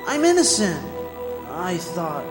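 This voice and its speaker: A man's voice: sits high, big pitch swings, heavily acted. An actor playing a desperate, haunted condemned man, theatrical and solemn in old-time radio drama style. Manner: theatrical, solemn, drawn-out phrasing. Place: performing arts center stage, live performance. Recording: echoey hall with music behind.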